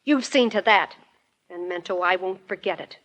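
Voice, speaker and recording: A woman's voice: medium pitch, normal speed, a bit bright, lightly acted. An actress playing a hurt, lovesick young female magician's assistant in an earnest 1940s radio drama style. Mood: resentful. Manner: earnest, aggrieved.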